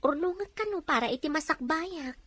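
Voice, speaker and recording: A woman's voice: high voice, expressive, fairly quick, a bit bright, no room sound. A woman acting an earnest, wistful young mother confiding in a friend, with a Filipino accent, in a melodrama.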